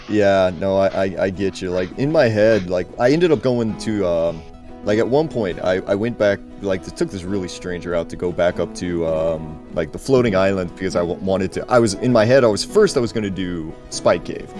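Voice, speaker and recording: A man's voice: low-pitched, moderate pace, a little mellow, faint music behind. A man, a racer chatting casually after a race, rambling and repeating himself as he restarts his sentences. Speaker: tournament racer. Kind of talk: casual post-race chat.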